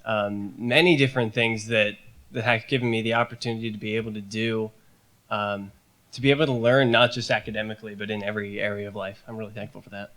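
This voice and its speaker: A man's voice: fairly low pitch, steady tone, quick pace, fairly bright tone, rough recording. A young man, a student on a panel, telling a story in an earnest, hesitant way.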